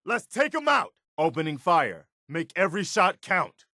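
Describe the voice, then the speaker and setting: Plain-spoken militia soldier game companion, earnest, pitch swings a lot, dry studio sound. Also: male voice, big pitch swings, normal speed, pushed and bright, no echo, exaggerated voice. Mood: urgent battle shouts. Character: earnest, principled militia soldier man fighting monsters. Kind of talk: video game companion voice lines.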